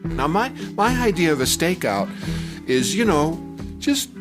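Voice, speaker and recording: An older man telling a personal story from his youth in a conversational, halting and animated way. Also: a little high. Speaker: storyteller telling his own childhood memory. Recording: music bed under the voice. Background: music.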